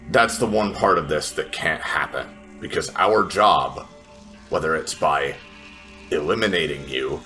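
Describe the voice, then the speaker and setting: Tabletop game adventurer, a blunt, confrontational woman played by a man: low, tense, deliberate delivery. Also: bright, forceful tone, heavily acted.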